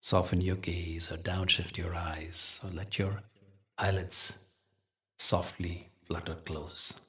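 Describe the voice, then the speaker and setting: A man leading a guided meditation as a teacher, telling spiritual stories in a gentle, measured, soothing way with deliberate pauses. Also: low voice, level tone, distant mic.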